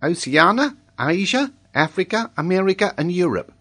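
A man's voice: sits high, sing-song, moderate pace, sharp tone, voice pushed up, heavily acted. A man voicing a polite, eager young schoolboy in a storybook reading, speaking earnestly like a pupil reciting lessons. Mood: dutiful, reciting.

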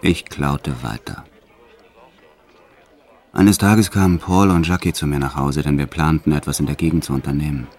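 Very deep-voiced violent career criminal. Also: male voice, moderate pace, long pauses, darkish tone. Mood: cold, proud. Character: violent male career criminal confessing his life, brutal yet tender. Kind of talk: first-person radio play narration.

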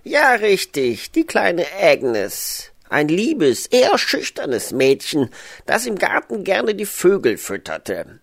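Woman acting kindly old male gardener, audio drama, creaky voice, very animated pitch swings, grandfatherly, chatty. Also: slow, heavily acted. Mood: sad, reflective. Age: older. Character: kindly old Polish-born male gardener, widowed, reminiscing.

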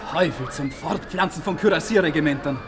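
Leering crude soldier, man acting, radio drama, highish voice, music behind. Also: fairly quick, close to an everyday voice. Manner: crude, admiring. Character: coarse, leering soldier admiring women. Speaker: actor.

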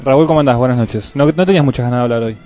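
Joking Argentine radio interviewer. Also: male voice, medium pitch, rapid-fire, warm tone, soft background music. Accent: Argentine Spanish. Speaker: radio host.

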